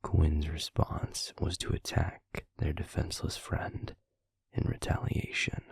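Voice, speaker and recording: A man playing a stern, guarded werewolf pack alpha in an audio roleplay, speaking formally and deliberately in a gravelly, husky voice. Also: a bass voice, monotone, dry recording, studio quality, full character voice. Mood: grave, measured. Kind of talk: scripted audio roleplay acting.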